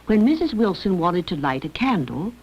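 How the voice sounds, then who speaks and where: Female narrator for educational film, scripted read, lowish pitch, muffled old-film recording. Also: very animated, normal speed, darkish tone, slightly rough.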